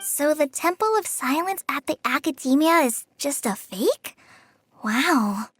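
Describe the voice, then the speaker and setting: A woman voicing a tiny, chirpy fairy girl companion in a video game, excitable and naive, with a squeaky childlike voice. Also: a little slow, slight background noise. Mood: surprised. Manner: excitable, naive, speaks of self in third person.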